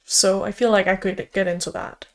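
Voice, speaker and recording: A young woman whose first language is Danish talks through her reading plans in a loose, upbeat vlog chat. Her voice sits at an ordinary middle pitch for a woman, and she leans on little fillers as she goes. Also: fairly even intonation, brisk. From Denmark. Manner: chatty, upbeat, uses fillers like "like" and "so". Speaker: book vlogger. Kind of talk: casual chat.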